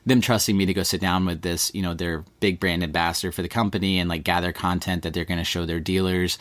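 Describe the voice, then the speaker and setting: A man from East Texas with an East Texas accent, talking in a relaxed, rambling way as a podcast host being interviewed. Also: deep voice, quick pace, no echo. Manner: relaxed, rambling, many fillers like "like". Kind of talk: casual conversational interview.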